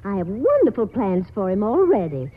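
An actress playing a prim, domineering aunt with a husky voice in a 1940s radio drama. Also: female voice, medium pitch, very animated, moderate pace. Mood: prim, brisk. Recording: tinny, narrow-band 1940s radio broadcast.

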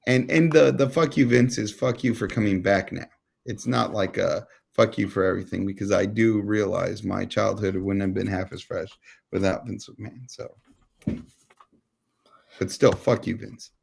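An American man co-hosting a podcast, debating casually in a wry, halting way with some swearing. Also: on the low side, fairly even intonation, creaky, slightly rough. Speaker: podcast co-host.

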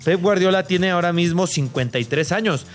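A man's voice: high voice, fairly quick, soft background music. A man with a Mexican accent co-hosting a casual sports debate, speaking emphatically and repeating himself. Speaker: sports show co-host.

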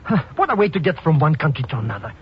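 An actor playing a cowardly, wisecracking comic sidekick, slangy, excitable and complaining, in a 1950s radio drama. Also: male voice, a little high, racing. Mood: grumbling. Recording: muffled, noisy old radio recording.

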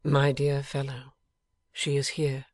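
A loyal gentleman friend, read by a woman in her own low voice, gentle and steady. The delivery is slow with a husky edge, recorded close and dry. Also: no room sound, exaggerated voice.

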